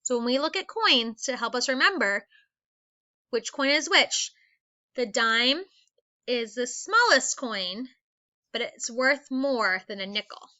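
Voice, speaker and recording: A woman's voice: fairly high pitch, expressive, slow, long pauses, sharp tone, dull audio. A woman teacher reading aloud a lesson for young children in an instructional manner, explaining things step by step. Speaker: elementary school teacher.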